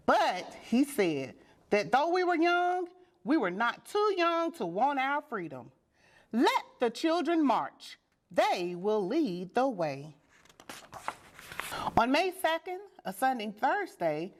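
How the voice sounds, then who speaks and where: A woman reading a children's storybook aloud with deliberate, dramatic and expressive phrasing. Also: high voice. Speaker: storybook reader.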